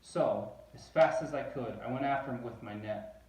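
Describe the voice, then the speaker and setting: A man reading a rhyming children's book aloud in a bouncy, rhythmic, expressive way. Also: medium pitch, moderate pace. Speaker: storybook reader. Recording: roomy echo, distant microphone.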